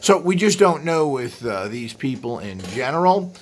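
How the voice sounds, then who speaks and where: A man with a mid-pitched voice talks off the cuff like a video host explaining his subject. He rambles and pauses, drifting into asides before picking the thread back up. There is audible noise behind him. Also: big pitch swings. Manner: rambling, halting with frequent trailing asides. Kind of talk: unscripted explanatory monologue. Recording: clearly audible background noise.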